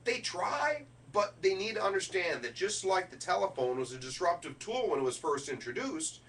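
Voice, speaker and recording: A man's forceful, bright voice delivers a motivational keynote with deliberate emphasis on key words. He is picked up from a distance, with a faint layer of noise and hum underneath. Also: high voice, sing-song. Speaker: keynote speaker. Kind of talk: motivational business keynote speech.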